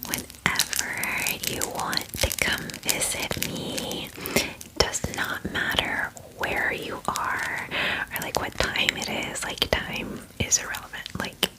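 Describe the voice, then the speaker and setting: A woman's voice: slow pace, close to an everyday voice, rough recording. A woman voicing a friendly, doting female dragon in a whispered ASMR fantasy roleplay, with gushing, hesitant, filler-heavy chatter. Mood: earnest, reassuring. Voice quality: breathy whisper.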